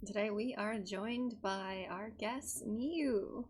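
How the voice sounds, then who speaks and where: A woman's voice: medium pitch, slow, crowd noise. A woman co-hosting a casual podcast, sleep-deprived and laid-back in how she talks. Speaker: podcast co-host.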